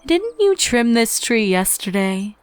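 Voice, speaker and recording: A woman's voice: a little high, very animated, slow pace, smooth. Woman as a cheerful unicorn mare schoolteacher. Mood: cheery. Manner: hearty, friendly greetings.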